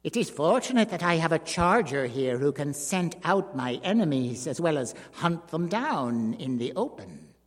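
A man reading a story aloud, voicing a cold, vengeful circus dwarf who speaks grimly and deliberately. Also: on the high side, sing-song. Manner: grim, measured. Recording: long hall reverb.

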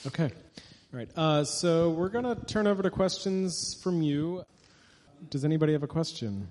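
A man's voice: fairly high pitch, animated, normal speed, darkish tone. A man moderating a live author event, asking casual, tentative questions in a roundabout way. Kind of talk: casual live on-stage interview. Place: indoor event hall with an audience. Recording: reverberant hall.

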